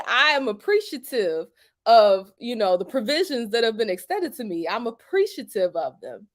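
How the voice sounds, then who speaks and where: A young woman giving a casual opinion monologue to camera, emphatic and colloquial, often checking in with "okay?", swearing freely and laughing between points. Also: high voice. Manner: colloquial, drops into "y'all" and "ain't". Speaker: YouTube commentator.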